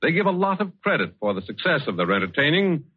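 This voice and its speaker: An actor playing a sociable, folksy wine dealer in a 1940s radio advertisement. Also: male voice, fairly high pitch, very animated, fast talker, breathy edge. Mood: folksy, satisfied. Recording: muffled old 1940s radio recording.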